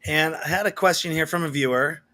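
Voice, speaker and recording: A man's voice: fairly high pitch, fairly quick, sharp tone. A man hosting an interview, reading viewer questions out and rephrasing his own questions loosely. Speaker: interview host.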